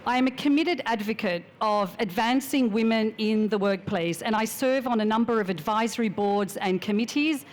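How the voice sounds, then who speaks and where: A woman giving a measured, formal introduction as a panelist at a finance conference. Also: a little high. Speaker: panelist, strategic advisor.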